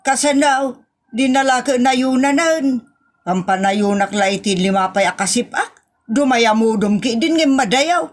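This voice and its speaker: Woman doing a grumbly ilocano villager in a comedy radio play, highish voice, always finding fault. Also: unhurried, natural voice. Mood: complaining. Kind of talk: comedy audio drama acting.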